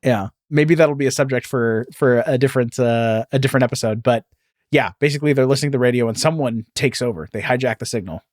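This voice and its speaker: A man's voice: medium pitch, fast, a little mellow, no echo, clean studio sound. A man in his thirties co-hosting a podcast, talking casually in a rambling way and laughing often. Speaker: podcast co-host. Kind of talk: casual chat.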